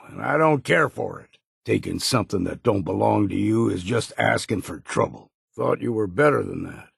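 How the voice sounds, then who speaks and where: Game companion: weathered old seaman, gruff and folksy, heavy gravel, unhurried delivery with plain rustic phrasing. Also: medium pitch, no room sound, clean studio sound, heavily acted. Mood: stern disapproval. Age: older. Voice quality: gravelly, raspy. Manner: folksy, rustic turns of phrase, dropped grammar. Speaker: video game companion character. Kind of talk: video game voice lines.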